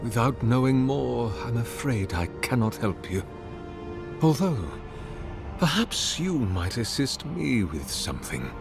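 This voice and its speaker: An actor playing an ancient, solemn oracle in a fantasy game, speaking in a formal, measured and grandiloquent manner. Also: male voice, mid-range voice, big pitch swings, creaky. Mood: solemn, persuasive. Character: ancient male oracle, courtly, solemn servant of a god. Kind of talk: fantasy video game dialogue. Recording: music behind the voice. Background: game music.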